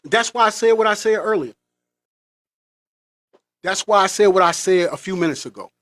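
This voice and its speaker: A man's voice: sits high, lively intonation, moderate pace, fairly bright tone, no echo. Fired-up man ranting to camera. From United States. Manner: impassioned, preachy rant. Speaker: vlogger. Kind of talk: monologue.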